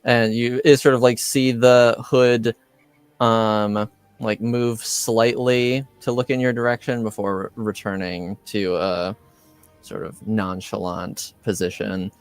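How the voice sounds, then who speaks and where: A man narrating a tabletop game as game master, unhurried and hesitant, with many pauses. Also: on the low side, little pitch movement, clear, resonant tone, faint music behind.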